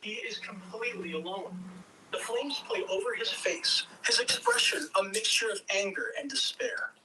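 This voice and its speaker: Table-read narrator for stage directions: bright, measured delivery, recorded a little away from the mic. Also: medium pitch, big pitch swings. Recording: distant mic, faint background noise.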